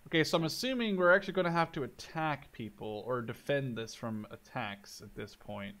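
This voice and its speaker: A man in his twenties giving casual, excitable let's play commentary, thinking out loud as he goes. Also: medium pitch, fairly quick. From Canada or the Netherlands. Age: 20s. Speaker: gaming video host.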